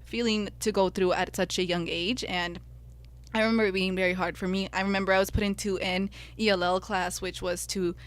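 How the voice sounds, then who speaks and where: A woman's voice: mid-range voice, flat delivery, brisk, no room sound. Earnest young woman telling her story. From United States. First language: Spanish. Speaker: podcast co-host. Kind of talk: personal reflective podcast talk.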